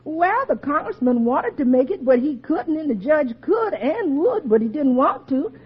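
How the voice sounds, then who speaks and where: Muffled old radio, outspoken maid, high animated voice, folksy, teasing. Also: female voice, moderate pace, slight background noise. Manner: folksy, comic, mock-deferential. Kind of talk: 1940s radio comedy acting.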